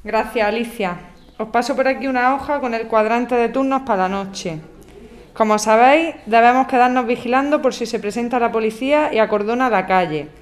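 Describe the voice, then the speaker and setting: A woman playing a practical, organised activist who briskly addresses a group in an audio drama. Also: a little high, close to an everyday voice. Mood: brisk, organising. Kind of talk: scripted amateur radio drama.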